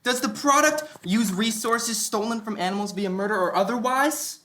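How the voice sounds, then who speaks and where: A woman's voice: on the high side, moderate pace, a bit bright, slight background noise, natural voice. A woman playing a cocky young vegan from Baltimore in a sitcom read on stage. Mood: suspicious. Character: cocky young mixed-race vegan from Baltimore, streetwise teacher. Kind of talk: sitcom table read before live audience. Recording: roomy stage sound.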